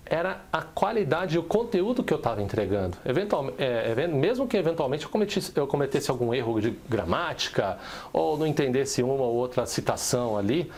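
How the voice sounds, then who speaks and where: A man's voice: mid-range voice, darkish tone. A Brazilian man co-hosting a casual business podcast, telling a story from his own working life in a conversational way. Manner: conversational, recounts personal work story. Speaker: podcast co-host.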